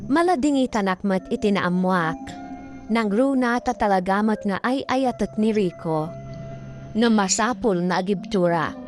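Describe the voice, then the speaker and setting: A woman's voice: medium pitch, unhurried, lightly acted. A woman acting a long-suffering young wife working abroad for her child, in an Ilocano radio drama with an Ilocano accent. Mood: sad, resolute. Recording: radio drama with prominent music underneath.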